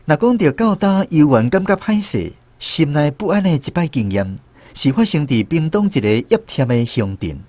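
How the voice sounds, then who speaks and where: A man's voice: on the high side, big pitch swings, moderate pace, a little mellow. A man with a Taiwanese accent narrating a radio drama as a reminiscing storyteller. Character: reminiscing male storyteller of a touring troupe.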